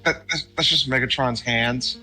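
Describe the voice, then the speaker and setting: A man's voice: medium pitch, moderate pace, sharp tone, breathy edge, soft background music, low-quality recording. A man co-hosting a casual podcast in a laid-back way, chuckling and stumbling over his words. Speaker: podcast co-host. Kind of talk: casual chat.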